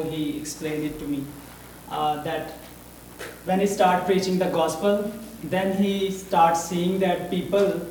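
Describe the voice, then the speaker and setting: A man with a Pakistani accent, a Punjabi speaker talking in English, addressing a church congregation haltingly and searching for his words. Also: high voice, darkish tone, a little hiss or hum. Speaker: visiting missionary speaker. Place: church hall. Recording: roomy hall audio from a distance.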